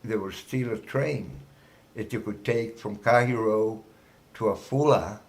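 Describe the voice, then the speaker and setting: Slow, gravelly Israeli man in his sixties. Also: lowish voice. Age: 60s. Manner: halting, broken English with restarts. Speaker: guest. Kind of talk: casual interview.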